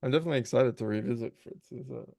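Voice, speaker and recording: A man's voice: medium pitch, rapid-fire, vocal fry, a little mellow, no echo. A man in casual conversation, talking in a thoughtful, tentative way. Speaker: voice actor, guest.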